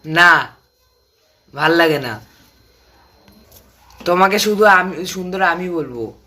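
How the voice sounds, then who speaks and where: Guy doing clingy son on phone to mom, bright voice, terse casual replies. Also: sits high, animated, slow pace, full character voice. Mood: possessive, admiring. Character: clingy, soft-spoken grown son on phone to mother. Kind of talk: staged phone-call drama, casual domestic chat.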